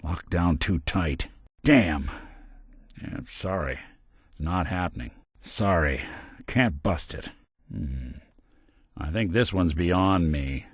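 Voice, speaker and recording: A world-weary robot gumshoe for a video game, in a lowish voice recorded close and dry. His delivery swings up and down expressively. He talks in wry, old-fashioned private-eye slang, folksy and loyal. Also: lively intonation, slow pace, long pauses, breathy edge, dry recording, heavily acted. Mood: dry, resigned. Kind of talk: video game companion voice lines.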